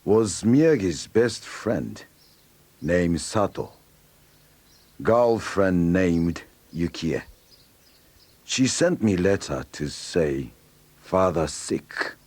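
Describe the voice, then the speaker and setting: A man's voice: low voice, expressive, drawn-out words, pauses often, darkish tone, no echo, heavily acted. A man playing an older, stoic Okinawan karate master in a read-along story, speaking terse broken English with a Japanese accent. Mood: reflective.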